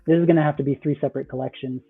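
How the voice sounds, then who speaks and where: A man with a fairly high voice comes through on a thin, phone-like connection. He answers interview questions casually, explaining things in loose, conversational phrases. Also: fairly even intonation, rapid-fire, slightly rough. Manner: loose, explanatory, peppered with "like" and "you know". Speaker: startup founder, interview guest. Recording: thin, phone-like call audio.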